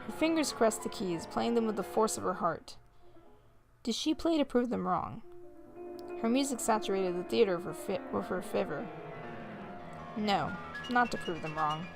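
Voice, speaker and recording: A woman hosting a let's play, reading visual novel text aloud in a measured way with casual asides. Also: medium pitch, expressive, gravelly, over music. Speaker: host of a gaming video series.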